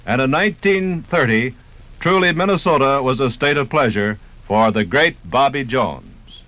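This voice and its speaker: A man's voice: mid-range voice, expressive, moderate pace, bright, forceful tone, low-quality recording. An American man narrating and interviewing in scripted 1950s radio style.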